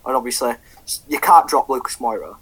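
A man's voice: medium pitch, animated, a little fast, fairly bright tone, breathy edge, poor audio. Young man, casual football chat, swears a lot, lots of ums. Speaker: podcast co-host.

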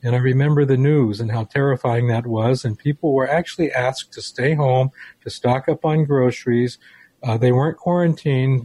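A man's voice: medium pitch, dark tone, no room sound. An American man in his sixties, reminiscing conversationally as co-host of a talk show. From United States. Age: 60s.

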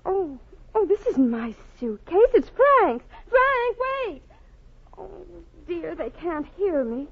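High, tinny sweet radio sweetheart. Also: female voice, slow, full character voice. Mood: fretful. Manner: genteel, expressive. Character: sweet, proper, anxious young female sweetheart. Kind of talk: 1940s radio drama acting. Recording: tinny, narrow-band old radio recording.

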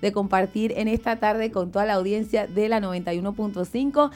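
Mellow woman chatting on radio. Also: medium pitch, a little fast. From Venezuela. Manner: chatty, descriptive, addresses the audience. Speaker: radio co-host. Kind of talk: casual live radio chat.

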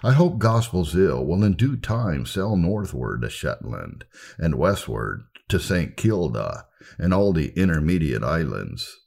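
A man narrating a scripted history audiobook in a formal, measured, oratorical way. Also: very deep voice, big pitch swings, creaky, a little mellow, breathy edge. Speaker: narrator reading church history.

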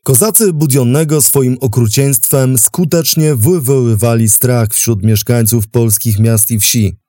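A man's voice: mid-range voice, fairly even intonation, a little slow, dark tone, no echo, studio quality. A man narrating a history documentary from a script, as its host.

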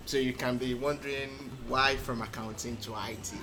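A man, a podcast guest telling his story in measured, thoughtful speech full of um pauses. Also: medium pitch, steady tone, over music. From Nigeria. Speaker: interview guest. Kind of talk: casual conversational storytelling.

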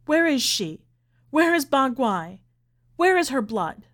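An anguished immigrant mother, acted out by a female audiobook reader, pitched well above an everyday voice. She is grieving and furious, pleading insistently as if on the edge of sobs. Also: big pitch swings, takes it slowly, fairly bright tone, heavily acted. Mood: bitter, desperate. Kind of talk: dramatic audiobook character reading.

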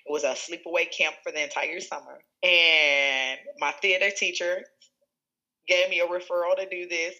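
A woman with a Chicago accent tells stories in an interview, her voice bright and forceful. She talks in an animated way, laughs often and restarts her sentences. The sound is a little dull and lo-fi. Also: on the low side, moderate pace. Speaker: school executive director. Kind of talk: conversational interview storytelling.

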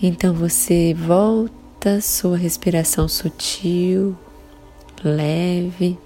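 A Brazilian woman guides a meditation at an extremely unhurried pace. Her tone is soft-edged and mellow, calm and soothing, with long pauses between measured phrases. Meditation music plays behind her. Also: fairly low pitch. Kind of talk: slow guided meditation narration. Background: soft sustained meditation music.

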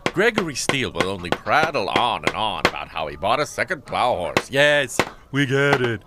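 An actor playing a pompous, weary, henpecked Puritan husband and printer in a comic audio drama. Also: male voice, medium pitch, very animated, normal speed, very noisy, close to an everyday voice. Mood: weary, grumbling. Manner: pompous, dry, grumbling.

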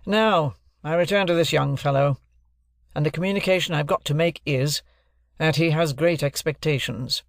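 A man's voice: a little high, normal speed, creaky, dry recording, clean studio sound, voice pushed up. A man putting on a curt, stern lawyer. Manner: curt, clipped, commanding. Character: brusque middle-aged male lawyer, stern and precise. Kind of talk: audiobook character voice.

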